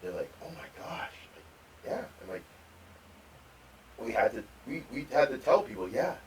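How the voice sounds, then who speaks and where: A man co-hosting a live podcast, telling rambling stories about growing up, with repeated words and animated quotes. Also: medium pitch, normal speed, slight background noise. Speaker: podcast co-host. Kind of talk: storytelling in casual chat. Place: live podcast recording venue. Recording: distant mic with faint room ambience.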